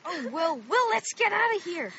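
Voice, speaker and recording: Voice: very high voice, big pitch swings, moderate pace, pushed and bright, dull audio, a little hiss or hum, exaggerated voice. An actor playing an anxious, wary thirteen-year-old boy who questions everything nervously, in a radio drama. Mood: alarmed.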